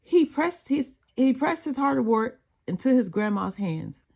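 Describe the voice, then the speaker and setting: A woman narrating a children's storybook in an expressive read-aloud style. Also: sits high, unhurried, gravelly, slightly rough.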